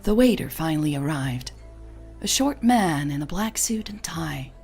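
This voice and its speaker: A woman narrating a scripted fiction story in an expressive storytelling manner, acting out the dialogue. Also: deep voice, moderate pace, vocal fry, faint music behind.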